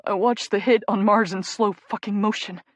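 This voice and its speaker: A woman voicing an anguished young male hockey player who shouts and swears through sobs. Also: mid-range voice, steady tone, moderate pace, dry recording, natural voice. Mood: sobbing.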